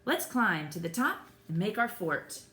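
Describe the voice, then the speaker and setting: A woman's voice: medium pitch, big pitch swings, measured pace, sharp tone, a little hiss or hum. A woman voicing an eager, take-charge young boy playing pretend in a children's storybook, recorded in an echoey room. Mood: adventurous, reassuring. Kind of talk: children's storybook character reading.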